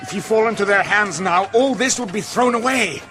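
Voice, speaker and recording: An actor playing a bold, protective young medieval adventurer in a radio drama, firm and instructing. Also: male voice, high-pitched, moderate pace, a bit bright. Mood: urgent, firm.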